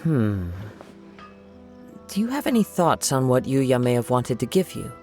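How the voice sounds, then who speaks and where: An actor playing a calm, modest young wandering samurai in a video game, composed and formal. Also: male voice, medium pitch, unhurried, faint music behind. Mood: measured, serious.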